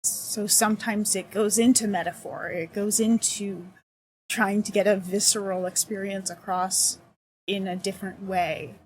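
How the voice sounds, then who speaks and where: A woman's voice: mid-range voice, steady tone, measured pace, darkish tone, slight background noise, rough recording. A woman talking as a guest in a casual interview, thoughtful and searching for her words. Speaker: accessibility consultant, guest.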